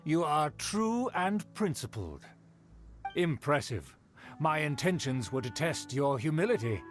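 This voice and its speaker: An actor voicing a pompous, haughty aristocrat in a video game, speaking in formal, old-fashioned phrases. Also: male voice, a little high, big pitch swings, a little slow, soft background music. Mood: self-satisfied, approving. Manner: pompous, formal, florid archaic diction. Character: pompous, haughty nobleman of a fallen aristocratic clan.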